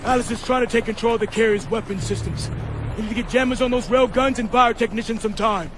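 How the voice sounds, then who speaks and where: An actor playing a determined, terse male squad commander in a military video game, with loud music and vehicle rumble behind him. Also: quick pace. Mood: urgent, commanding. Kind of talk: cinematic military game acting.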